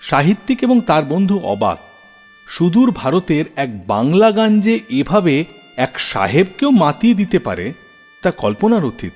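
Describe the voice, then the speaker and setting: This is a male radio host, narrating scripted stories. His delivery is lively and dramatic, swinging up and down with deliberate pauses. The voice sits in long reverb over a music bed. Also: a little high.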